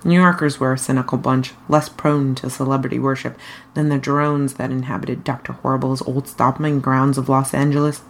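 A woman reading a scripted story aloud as a fan fiction narrator. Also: a bass voice. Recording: faint noise behind the voice.

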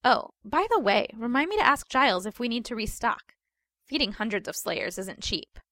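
A woman voicing a sly teenage girl who explains tall tales in a patient, matter-of-fact deadpan for a story reading. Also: on the high side, moderate pace, no echo, clean studio sound. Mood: thoughtful deadpan. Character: sly teenage girl, mock-wise, deadpan leg-puller.

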